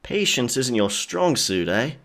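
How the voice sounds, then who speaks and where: A man's voice: mid-range voice, very animated, unhurried, a bit bright. A man playing a cocky, teasing, self-assured sailor in a pirate romance audio drama, with a nonchalant manner. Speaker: voice actor.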